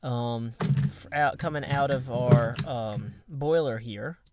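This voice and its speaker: A man's voice: mid-range voice, slow, heavy background noise. A man chatting casually while playing a building game, thinking aloud with many fillers and restarts. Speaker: game player. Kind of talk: casual gameplay chat.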